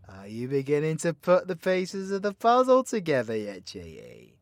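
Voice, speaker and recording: A man's voice: a little high, huge pitch swings, moderate pace, no room sound. A man playing a cocky, foul-mouthed, playfully teasing supervillain mentor in an audio roleplay, with a British accent and a slangy, swaggering, theatrical delivery. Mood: teasing, secretive. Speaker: voice actor. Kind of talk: villain ASMR audio roleplay, addressing the listener.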